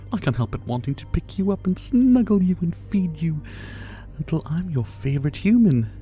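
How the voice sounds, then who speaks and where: Man acting a silky, teasing dictator, swooping pitch. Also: vocal fry, warm tone, exaggerated voice. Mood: doting, possessive. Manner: silky, condescending, flirtatious, doting with pet names. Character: possessive, teasing male yandere dictator, rich and menacing. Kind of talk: ASMR roleplay, intimate dramatic acting addressed to the listener.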